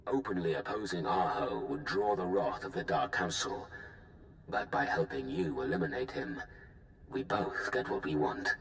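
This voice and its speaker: A ruthless Sith lord from a video game cutscene, speaking slowly and bluntly in a very deep voice. Every word sounds measured and commanding, with a roomy echo and faint hiss behind it. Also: male voice, sing-song, gravelly, heavily acted. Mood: cold, calculating. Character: ruthless scheming Sith lord, calm and cold.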